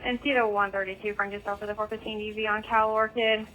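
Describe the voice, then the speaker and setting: A woman's voice: mid-range voice, level tone, fast talker, a bit bright, faint music behind. A woman working as a police dispatcher, reading out calls in a clipped, matter-of-fact way over police radio. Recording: noisy police scanner radio feed.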